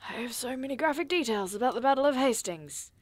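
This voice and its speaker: A woman's voice: sits high, lively intonation, fast talker, no room sound. A woman playing a sarcastic, exasperated and wary young adventurer in an audio drama, with a blunt manner. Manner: sardonic, blunt.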